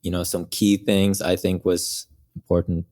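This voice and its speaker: A man in his thirties, a guest answering interview questions in a relaxed, hesitant way with occasional chuckles. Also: sits low, unhurried. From Norway. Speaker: guest, company founder.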